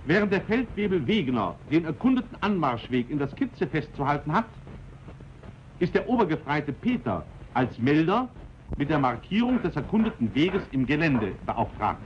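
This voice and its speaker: Brief: male documentary narrator, formal and instructive, lively pitch, muffled vintage film audio. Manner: measured, instructive delivery. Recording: dull 1940s film soundtrack with faint hiss.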